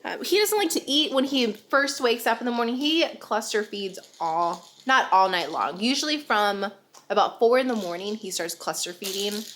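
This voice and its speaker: A woman's voice: mid-range voice, expressive, normal speed, fairly bright tone, slight background noise. A young woman vlogger, chatting casually and rambling, a bit nasal and congested, laughing often. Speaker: vlogger, stay-at-home mom. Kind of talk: casual chat to camera.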